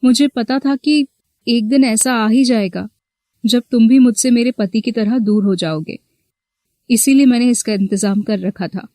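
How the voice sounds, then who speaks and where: Casting: actress for manipulative, flirty married woman teacher, possessive, higher-pitched voice, scripted story dialogue, dry studio sound. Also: measured pace, dark tone, dry recording, close to an everyday voice. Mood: cold, threatening.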